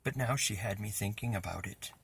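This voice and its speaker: A man reading a children's story aloud as an expressive narrator. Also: lowish voice, fairly quick, gravelly, a little hiss or hum. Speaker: storybook reader.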